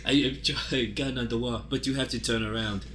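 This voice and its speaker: A man playing a melodramatic woman, a cook and artist nursing heartbreak, speaking earnestly and haltingly in an improvised comic radio play. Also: medium pitch, steady tone, a bit bright, a little hiss or hum, natural voice.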